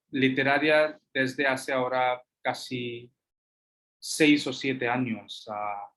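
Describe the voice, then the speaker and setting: Male guest lecturer, Hindi native, slow and halting, many fillers. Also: mid-range voice, fairly bright tone. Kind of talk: answering audience questions.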